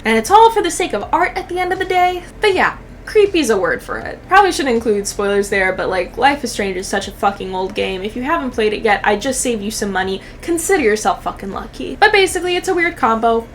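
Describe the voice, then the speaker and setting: A woman in her twenties giving casual comedic reaction commentary as a channel host, sarcastic, profane and expressive. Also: sits high, a little hiss or hum.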